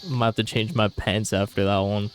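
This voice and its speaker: A man's voice: on the low side, little pitch movement, fairly quick, over music. A man chatting casually as a streamer, slangy, enthusiastic and prone to swearing. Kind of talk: casual gaming stream chat. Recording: faint noise, vehicle-like ambience.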